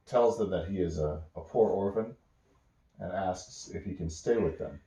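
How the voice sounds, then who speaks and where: Deep-voiced man telling a bedtime story. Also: warm tone. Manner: halting, casual, pauses mid-sentence. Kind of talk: improvised bedtime storytelling with acted dialogue. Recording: roomy, echoey home recording.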